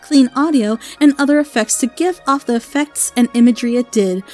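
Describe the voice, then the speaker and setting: A woman's voice: sits high, warm tone. A woman giving critical review commentary in a blunt, opinionated way. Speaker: audio drama reviewer.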